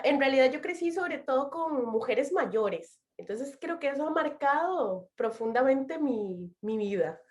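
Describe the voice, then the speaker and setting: Woman, costa rican spanish accent, young adult, fairly high voice, slow and unhurried, laughs often. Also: a little mellow. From Costa Rica. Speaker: interview guest. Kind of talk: relaxed personal storytelling.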